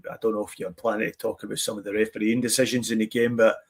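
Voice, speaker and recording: A man on a football podcast, arguing his point in a measured way. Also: fairly low pitch, little pitch movement, creaky, slightly rough. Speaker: podcast panelist. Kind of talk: casual football chat.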